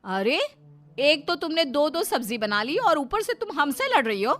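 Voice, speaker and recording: A woman's voice: sits high, very animated, moderate pace, a bit bright, faint music behind. A woman playing scolding, sarcastic women of a squabbling household in a cartoon family story. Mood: chiding. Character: bickering, sarcastic daughters-in-law and scolding mother-in-law.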